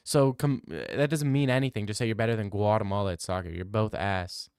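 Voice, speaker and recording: Young male streamer, loose profane banter, smooth voice, dry close sound. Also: lowish voice, a little fast, darkish tone, no room sound. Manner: loose, profane banter, reads chat aloud and riffs. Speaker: streamer answering chat. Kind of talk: casual live-stream chat.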